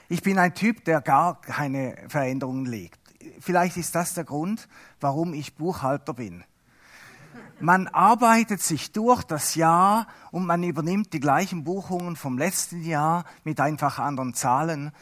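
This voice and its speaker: A middle-aged Swiss man whose first language is Swiss German, giving a chatty, halting lay sermon with self-mocking humor. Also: fairly high pitch, fairly bright tone. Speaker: lay preacher.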